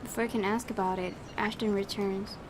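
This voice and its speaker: A woman's voice: medium pitch, steady tone, a little fast, background noise. A woman hosting a let's-play, reading a visual novel's narration aloud with dramatic storytelling delivery. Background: game music.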